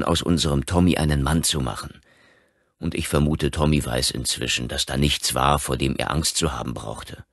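A man voicing a stern, excitable father in a horror audiobook, with a forceful, insistent manner. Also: a bass voice, dry recording, clean studio sound. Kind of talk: audiobook character acting.

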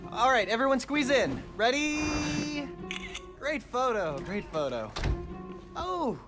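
Chatty, animated teenage boy. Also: extremely high pitch, slow pace, music in the background, heavily acted. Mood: improvising, upbeat. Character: chatty, good-natured, slightly awkward teenage school friend, male. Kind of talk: naturalistic video game acting.

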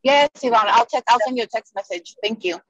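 A woman's voice: a little high, fast talker, dry recording, muffled recording. A native Spanish-speaking woman with a Spanish accent, asking casual, somewhat hesitant questions as an agent on a group call. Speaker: meeting participant, real estate agent.

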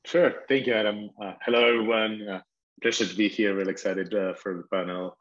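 A man's voice: on the low side, fairly even intonation, fairly quick, fairly bright tone. A middle-aged man from Argentina introduces himself on a panel in a friendly, hesitant way, with many "uh"s. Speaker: project founder, panelist. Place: home office with framed art. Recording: dull, lo-fi call audio.

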